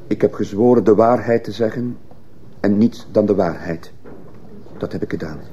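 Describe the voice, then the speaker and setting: A man's voice: fairly low pitch, normal speed, warm tone, husky, dull audio. An actor playing a guilt-ridden schoolteacher who narrates his own story in a sombre, introspective way in a radio drama. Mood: tense confession. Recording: noisy old radio play recording.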